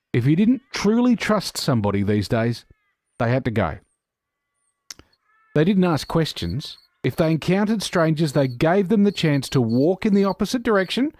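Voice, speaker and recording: A man narrating a scripted audio drama in a deliberate, dramatic manner. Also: on the high side, a little mellow, no room sound.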